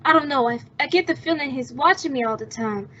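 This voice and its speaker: A woman's voice: sits high, a little fast, sharp tone, slight background noise. A woman playing an anxious, devoted young sister in an old-time radio melodrama, earnest and emotional in manner. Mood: worried. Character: anxious, devoted young woman protecting her fugitive brother. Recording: dull, muffled lo-fi sound.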